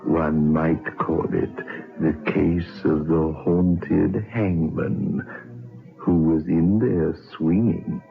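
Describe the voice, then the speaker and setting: An actor playing a sinister, sardonic host of an old radio horror show, droll and morbid. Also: male voice, low-pitched, steady tone, very slow, creaky, heavily acted. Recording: muffled phone-like old radio recording, music.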